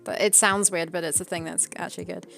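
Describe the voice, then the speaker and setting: A woman's voice: fairly low pitch, fairly even intonation, quick pace, vocal fry, a bit bright, soft background music. A woman streaming as a game developer, chatting casually and reading out viewer messages, with frequent laughter. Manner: chatty, laughs often, reads chat aloud. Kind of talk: casual chat on a live stream.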